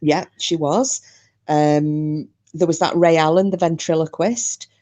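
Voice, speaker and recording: A woman's voice: low voice, steady tone, a little slow, dark tone. A woman co-hosting a podcast, chatting casually about a paranormal case, with lots of fillers and restarts. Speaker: podcast co-host.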